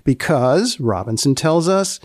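A man hosting a podcast in a deadpan, mock-serious way, moving between scripted narration and wry questions. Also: fairly high pitch, measured pace, no room sound, studio quality. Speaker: podcast host.

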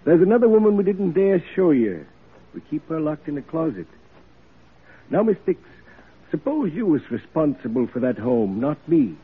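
Self-justifying male institution keeper: highish, heavily rasping voice, emphatic, folksy-plain, vintage lo-fi radio drama. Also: very animated, normal speed, full character voice. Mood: defensive, self-justifying. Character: defensive, plain-spoken male poorhouse overseer. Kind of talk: 1940s radio acting. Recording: muffled vintage radio broadcast recording.

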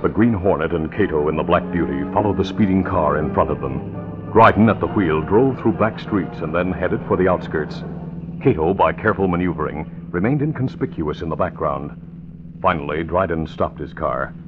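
Male narrator for classic radio serial, low pitch, scripted storytelling, muffled vintage sound with prominent music. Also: normal speed. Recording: dull old radio recording. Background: dramatic music.

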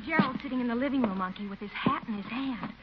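A woman's voice: fairly high pitch, quick pace, crowd noise, close to an everyday voice. A woman playing a pleasant, well-mannered young niece in a 1940s radio comedy. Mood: polite. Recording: muffled old radio, audience murmur.

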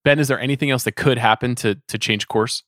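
A man's voice: mid-range voice, fast, fairly bright tone, dry recording, studio quality. A man hosting a conversational film discussion, speaking in an articulate, discursive way as he frames questions. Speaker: podcast host.

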